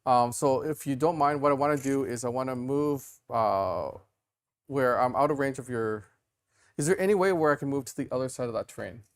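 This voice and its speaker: A man's voice: medium pitch, brisk, clean tone. A man playing a tabletop wargame, casually talking through his moves and thinking aloud. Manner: thinks aloud with many fillers and restarts.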